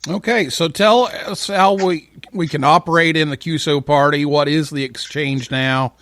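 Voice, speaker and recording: A man's voice: fairly high pitch, fairly even intonation, moderate pace, fairly bright tone, no echo, studio quality. A man hosting a casual live interview, informally prompting his guests with questions. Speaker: talk show host.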